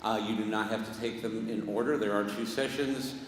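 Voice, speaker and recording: Halting man announcing in echoey church. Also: fairly low pitch, fairly even intonation, a little hiss or hum. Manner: halting, with restarts. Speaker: church lay leader. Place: large church sanctuary. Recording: echoey hall with long reverb.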